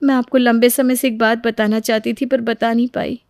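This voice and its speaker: A woman's voice: fairly high pitch, little pitch movement, normal speed, a little mellow, no room sound, clean studio sound, close to an everyday voice. A woman playing a meek, wronged young daughter-in-law in a story, plaintive and earnest. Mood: hurt, earnest. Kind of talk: Hindi moral story voice acting.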